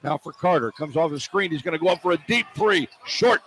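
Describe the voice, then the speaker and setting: A man's voice: a little high, animated, brisk, a bit bright. A man calling live basketball play-by-play on the radio in clipped, running descriptions of the action. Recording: noisy live arena broadcast. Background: arena crowd ambience.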